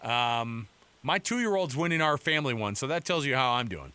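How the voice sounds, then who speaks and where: A man's voice: mid-range voice, very animated, normal speed, bright, forceful tone, smooth. A man hosting a sports talk radio show, chatting informally in a casual, bantering way from a studio. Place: radio studio in Salt Lake City. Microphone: close studio microphone.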